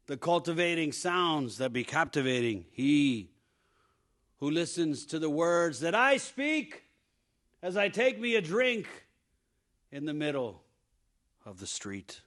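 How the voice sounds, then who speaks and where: Slow, bright, theatrical male reader. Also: a little high, big pitch swings. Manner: theatrical, swelling and dropping. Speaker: news reporter reading lyrics. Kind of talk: dramatic poetry-style reading.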